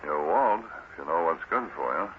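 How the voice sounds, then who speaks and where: Political sidekick: shady man, low pitch, subtly pressuring, vintage radio sound with faint noise. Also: expressive, normal speed. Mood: veiled warning. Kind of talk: 1940s radio drama acting. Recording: noisy, muffled old radio recording.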